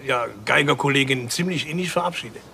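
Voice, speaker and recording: An actor playing a disillusioned inn landlord who complains with blunt sarcasm in an audio drama. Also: male voice, mid-range voice, fast, gravelly, bright, forceful tone, slight background noise. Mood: matter-of-fact.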